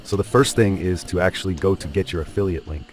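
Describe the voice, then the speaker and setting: A man's voice: sits low, fast, soft background music. A man with a Nigerian accent explaining a web tool step by step in an earlier tutorial video. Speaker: tutorial host.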